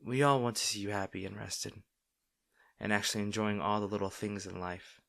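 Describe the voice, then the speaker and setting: A man playing a caring, gently firm boyfriend in an intimate roleplay audio, speaking softly, slowly and earnestly. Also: fairly low pitch, steady tone, vocal fry, dry recording, clean studio sound. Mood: tender concern. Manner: soft, slow, tender, earnest with sighs.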